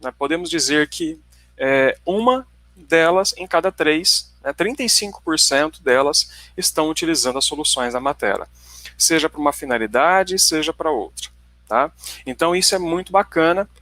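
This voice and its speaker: Bright-voiced Paraná man presenting. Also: on the high side, lively intonation, moderate pace, no echo. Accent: Paraná Brazilian Portuguese. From Paraná, Brazil. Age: 40s. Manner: conversational, checks in with listeners often. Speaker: company presenter. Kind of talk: online tech webinar presentation.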